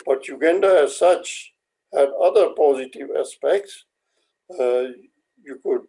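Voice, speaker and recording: An older man with an Indian accent and Hindi as his first language speaks slowly and reflectively. His voice is mellow and creaky, and he pauses often in a measured, halting way as he recalls his past. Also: medium pitch, rough recording. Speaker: interview guest. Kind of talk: reflective personal recollection.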